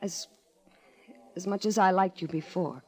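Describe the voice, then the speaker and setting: An actress playing a proud, virtuous young mission worker, measured and earnest, in an old-time radio melodrama. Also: female voice, lowish voice, a little mellow, husky. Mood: bitter, wounded.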